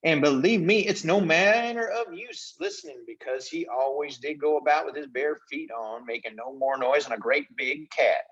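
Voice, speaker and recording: A man voicing a blustering, folksy invisible chief who rambles in rough rustic grammar, in a storybook reading. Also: a little high, expressive, moderate pace, hardly any pauses, a bit bright, lo-fi sound. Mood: earnest, complaining.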